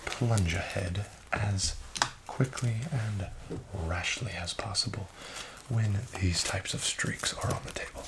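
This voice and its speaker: Soft spoken asmr guy being a friendly formal card dealer, deep-ish voice, some clear background noise. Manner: formal, polite, measured, encouraging. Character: friendly, courteous male casino card dealer. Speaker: roleplay casino card dealer host. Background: card shuffling and clicks.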